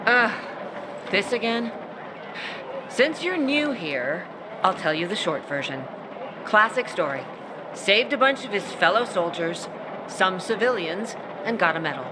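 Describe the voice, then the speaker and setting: A woman's voice: mid-range voice, lively intonation, noisy background, close to an everyday voice. An actress playing a blunt female military officer who briefs a newcomer in a game cutscene. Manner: blunt, brisk. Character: tough female soldier, blunt and proud. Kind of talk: video game cutscene acting.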